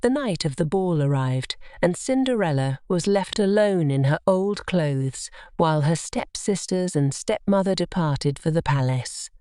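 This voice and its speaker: A woman narrating a scripted children's bedtime story in an expressive, measured storytelling manner. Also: lowish voice, clean tone, dry recording.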